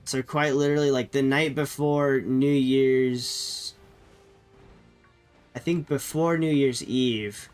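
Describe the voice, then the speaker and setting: A man's voice: medium pitch, fairly even intonation, slow pace, clear, resonant tone, faint music behind. A man streaming a game while sick with a cold, sounding nasal and chatting loosely with viewers, often correcting himself. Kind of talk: casual live-stream chat.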